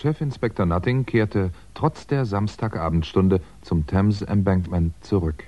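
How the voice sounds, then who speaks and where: A man's voice: low-pitched, a little slow, dark tone. An actor playing a wry, courteous male crime writer who narrates and acts in a 1960s German radio thriller. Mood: wry narration. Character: male crime-writer amateur sleuth, wry, easily flustered. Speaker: first-person narrator and lead character. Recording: dull, band-limited 1960s radio recording.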